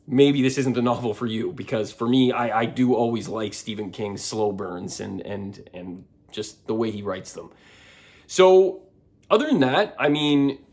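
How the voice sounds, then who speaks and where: A man giving a casual book review to his viewers, often repeating words and backtracking as he talks. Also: medium pitch.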